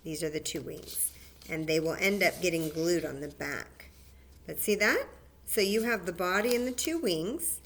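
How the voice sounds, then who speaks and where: A woman's voice: on the low side, animated, normal speed, fairly bright tone, slight background noise. A woman hosting a library story time, guiding children patiently through a paper plate craft step by step.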